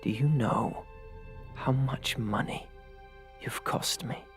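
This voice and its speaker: A narrator voicing a cold, cruel male master of assassins in an audiobook, speaking with deliberate, threatening control. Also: mid-range voice, faint music behind, voice pushed down. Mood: quiet menace. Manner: soft, deliberate, threatening, at times growling.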